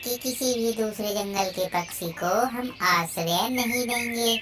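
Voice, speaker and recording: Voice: medium pitch, measured pace, distant mic, noisy background, lightly acted. A performer voicing a stern, territorial owl who guards the forest in a cartoon moral story. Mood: stern, dismissive.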